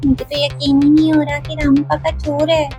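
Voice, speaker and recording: A woman voicing a watchful little child in a toy cartoon, speaking in a hushed, secretive way. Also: sits high, completely flat delivery, normal speed, faint music behind, pitched above the performer's own voice, natural voice. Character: whispery, watchful little children spying on a thief.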